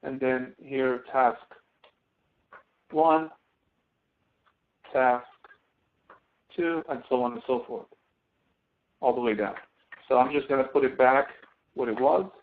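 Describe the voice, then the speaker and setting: A man's voice: medium pitch, fairly even intonation. Phone-like male instructor, step by step. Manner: halting, step-by-step explaining. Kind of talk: live online software lecture with screen demonstration. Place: online screen-share session. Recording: thin phone-like audio.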